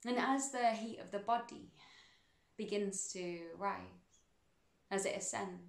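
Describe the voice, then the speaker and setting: A woman guiding a meditation in a soothing, unhurried manner, with measured phrases and pauses between them. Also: mid-range voice, creaky, roomy sound. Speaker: meditation guide. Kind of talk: guided meditation narration.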